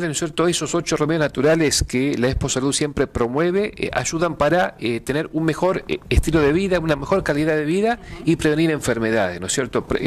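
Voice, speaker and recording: Argentine man, halting and filler-heavy. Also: fairly high pitch, slight background noise. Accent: Argentine Spanish. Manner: hesitant, many fillers and repeated words; gestures with hands while explaining. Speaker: pastor and event organizer. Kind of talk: TV studio interview. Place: TV studio with dark curtains.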